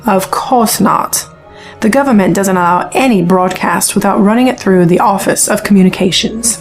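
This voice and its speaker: A woman's voice: medium pitch, normal speed, soft background music, close to an everyday voice. An actress playing a hard-bitten, sceptical woman reporter and pilot in a science fiction audio drama. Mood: warning. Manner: matter-of-fact, sceptical.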